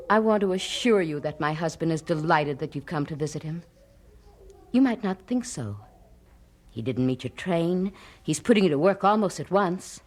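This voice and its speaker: An actress playing a gracious, eager preacher's wife in an audio drama, genteel and reassuring. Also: female voice, lowish voice, a little mellow.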